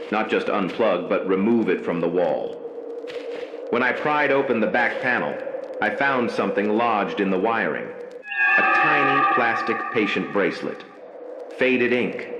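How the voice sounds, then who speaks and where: A man playing a haunted night-shift hospital worker, narrating a scripted horror story in slow, measured, ominous phrases. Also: lowish voice, reverberant, noisy background, close to an everyday voice.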